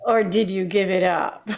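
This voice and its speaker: A woman's voice: medium pitch, vocal fry. A woman playing a sugary, needling older mother-in-law in an old-time radio comedy, with a singsong manner. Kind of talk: 1940s radio comedy acting.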